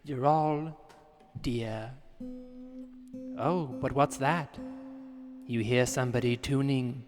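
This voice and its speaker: Slow-talking hypnotic guru Juliet, played by a man. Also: medium pitch, steady tone, in a large hall, soft background music, close to an everyday voice. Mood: solemn, hypnotic. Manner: slow, solemn, hypnotic, commanding pauses. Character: Juliet, serene, stubborn new-age guided-meditation guru woman. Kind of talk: improvised comedy, guided meditation patter.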